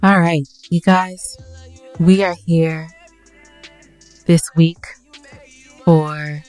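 A woman's voice: fairly low pitch, fairly even intonation, extremely slow, darkish tone, faint music behind. A woman co-hosting a podcast in a casual, playful, teasing way. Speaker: podcast co-host. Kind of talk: casual banter between co-hosts.

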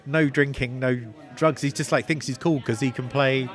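A man's voice: mid-range voice, steady tone, normal speed, soft background music. A man with a cold and a nasal voice, a novelist guest talking enthusiastically and rambling about a film. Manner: enthusiastic, rambling, full of restarts. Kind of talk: casual film discussion.

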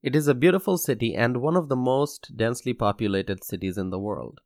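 A young man from Bangladesh hosting his own podcast, speaking in an earnest, measured scripted monologue. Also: medium pitch, darkish tone, no room sound, clean studio sound. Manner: measured, earnest, slow deliberate delivery. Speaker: podcast host.

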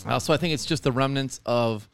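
A hungover young man in his twenties with a slightly hoarse throat, hosting a crude, joking podcast chat at home. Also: mid-range voice, brisk, soft background music. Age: 20s. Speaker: podcast host.

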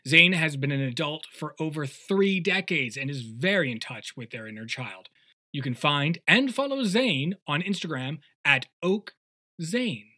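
A man's voice: fairly high pitch, pushed and bright. A man reading a scripted introduction as a podcast host.

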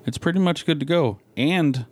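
A man co-hosting a podcast, talking in a casual, colloquial way with halting phrasing. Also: a little high, no echo. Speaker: podcast co-host. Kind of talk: casual chat.

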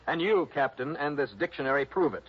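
An actor playing a no-nonsense, wry insurance investigator in a 1960s radio detective drama, speaking in a brusque, insistent way. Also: male voice, on the high side, a little fast, breathy edge. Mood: impatient. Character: brisk, wry, hard-nosed male insurance investigator. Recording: muffled vintage radio recording.

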